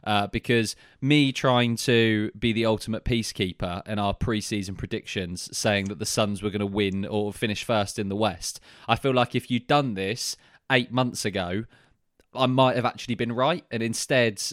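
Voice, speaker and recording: A man's voice: fairly low pitch, fairly even intonation, moderate pace, no echo, studio quality. A man with a Southern English accent co-hosting a casual basketball podcast, talking in a rambling, chatty way full of "uh" fillers and easy laughs, heard over a video call. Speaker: podcast co-host. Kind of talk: casual sports podcast chat.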